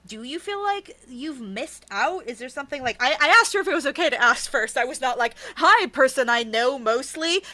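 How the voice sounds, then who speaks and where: Woman, higher voice, bright and forceful, emphatic storyteller, candid interview conversation. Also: expressive, normal speed, few pauses. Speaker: author being interviewed.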